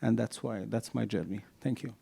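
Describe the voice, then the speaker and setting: Soft-toned man with halting speech. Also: fairly low pitch, creaky, husky. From Egypt. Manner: halting, many fillers, rising emphasis. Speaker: panelist. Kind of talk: panel self-introduction.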